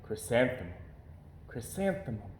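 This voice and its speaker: Casting: male storybook reader, very animated pitch, dramatic deliberate delivery, echoey room with faint noise. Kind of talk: expressive children's read-aloud narration.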